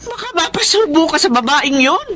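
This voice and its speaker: A woman's voice: high-pitched, very animated, normal speed, fairly bright tone. An actress playing a worried, nagging middle-aged mother in a Tagalog radio drama, agitated and plaintive. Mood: alarmed, scolding.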